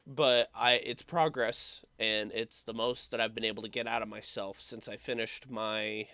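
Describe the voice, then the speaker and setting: A young man who is sick with a sore throat, talking in a rambling, halting way full of fillers for his casual vlog update. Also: on the low side, steady tone, bright, forceful tone, no room sound. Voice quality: slightly rough, hoarse voice. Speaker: YouTuber.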